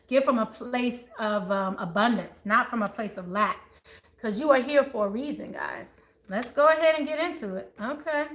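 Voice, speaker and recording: A woman's voice: a little high, a bit bright. A woman reading tarot cards live, chatty, laughing a lot and talking straight to her viewers. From born in Texas, raised in the Maryland and DC area. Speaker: tarot reader. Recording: echoey room with faint noise.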